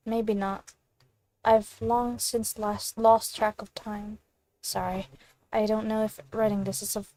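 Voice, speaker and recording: A woman gaming streamer with a soft-edged, mellow tone. She talks at a slightly slow pace, halting now and then as she comments casually and reads game text aloud. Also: mid-range voice, low-quality recording.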